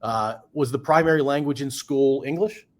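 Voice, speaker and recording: A man hosting a podcast, asking questions in a halting, hesitant way full of restarts. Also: mid-range voice. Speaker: podcast host. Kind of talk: casual conversational interview.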